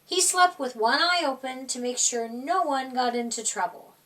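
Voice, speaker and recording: A woman reading a children's picture book aloud in an expressive, dramatic storytime style, drawing out words for young listeners. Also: high voice, normal speed, recorded from a distance.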